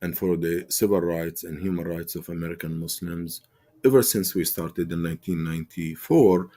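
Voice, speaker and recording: A man speaking with a low, mellow voice as an advocacy spokesman in a TV interview. He talks earnestly and with passion, often pausing on fillers and restarting his sentences. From Palestine. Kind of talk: TV interview answers.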